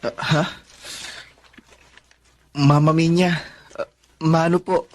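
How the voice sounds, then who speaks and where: A man's voice: on the high side, little pitch movement, slow, darkish tone. A man playing a poor, long-suffering, devoted son in a Tagalog radio drama, speaking earnestly and respectfully. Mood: humble.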